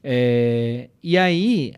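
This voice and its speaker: A man's voice: medium pitch, lively intonation, drawn-out words, a little mellow, clean tone. A young adult man with a Brazilian accent, giving strong opinions in a casual panel chat, tagging sentences with "né?". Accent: Brazilian Portuguese. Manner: opinionated, emphatic, many "né?" and "entendeu?" tags. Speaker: podcast panelist.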